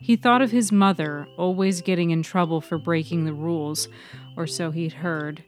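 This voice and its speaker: A woman's voice: lowish voice, fairly even intonation, moderate pace, faint music behind. A woman reading scripted fiction as the narrator of a serial story podcast.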